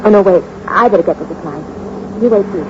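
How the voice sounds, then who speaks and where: A woman's voice: fairly low pitch, quick pace, close to an everyday voice. A scheming femme fatale coaxes and wheedles with a persuasive pull, as a woman plays her on old-time radio. The sound is dull and faintly hissy, like a vintage broadcast. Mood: coaxing. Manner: coaxing, persuasive. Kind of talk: 1950s radio drama acting.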